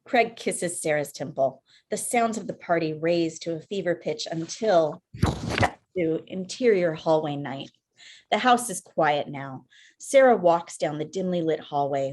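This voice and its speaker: A woman's voice: low-pitched, darkish tone. A woman reading scripted screenplay stage directions as narrator on a video call. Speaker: filmmaker, stage-direction reader.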